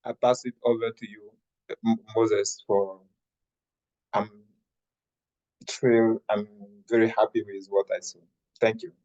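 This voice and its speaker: A man giving informal, halting feedback to a meeting as its evaluator. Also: on the low side, flat delivery, darkish tone. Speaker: grammarian, evaluator. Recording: dull lo-fi audio.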